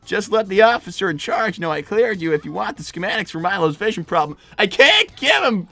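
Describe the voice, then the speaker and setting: A man's voice: high-pitched, very animated, a little fast, gravelly, pushed and bright, soft background music, heavily acted. A man voicing a flustered, defensive young cartoon fox boy with a cracking voice and a stammering, exasperated manner, in an improvised comedy livestream. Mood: explaining. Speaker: livestream co-host in character.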